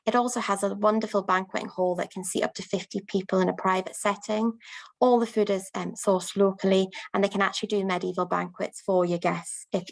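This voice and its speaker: Woman promoting a city to event planners, upbeat and unscripted, mid-pitch voice with a gravelly fry. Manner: frequent "um" fillers, upbeat. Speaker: destination promoter.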